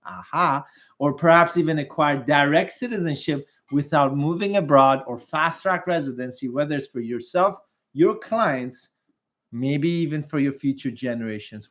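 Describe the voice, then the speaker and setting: A man with a slightly high voice talks through a promotional explainer. He is conversational and salesy, adds chatty asides and quick laughs, and trips over foreign pronunciations. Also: a bit bright. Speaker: investment migration firm presenter.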